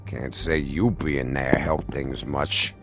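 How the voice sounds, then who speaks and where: A man's voice: a bass voice, fairly even intonation, slow pace, a bit bright, full character voice. A man voicing a drunk, bitter, sarcastic bar tough in a video game, with a drawling, sneering, profane way of talking. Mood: bitter, insistent. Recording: music under the voice.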